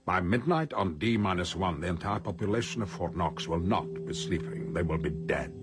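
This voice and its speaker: An actor in a radio drama playing a pompous, coldly ruthless criminal mastermind who talks formally and deliberately with polite menace. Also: male voice, deep voice, lively intonation, music in the background. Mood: cold, gloating.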